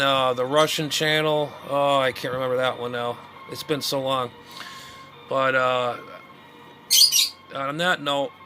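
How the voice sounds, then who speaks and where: A middle-aged man giving casual, rambling vlog commentary as a radio hobbyist, muttering, repeating himself and trailing off. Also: mid-range voice. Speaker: amateur radio hobbyist showing his gear. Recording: noisy recording with steady background noise.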